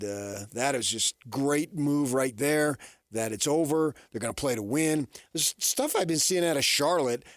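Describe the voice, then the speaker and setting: A man hosts sports talk radio in a close, dry studio sound. His pitch sits in an ordinary middle range. He talks casually and conversationally, with a folksy touch and plenty of rhetorical questions. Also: no echo.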